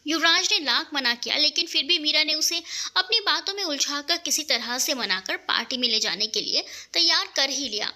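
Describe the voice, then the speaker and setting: A woman narrating a scripted romantic story in an expressive way, acting out the dialogue as she reads. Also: high-pitched, moderate pace.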